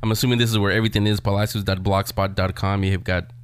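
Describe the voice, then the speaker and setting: Low-voiced man, joking show host. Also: level tone, very fast, smooth. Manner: joking, wisecracking. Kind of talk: casual chat interview.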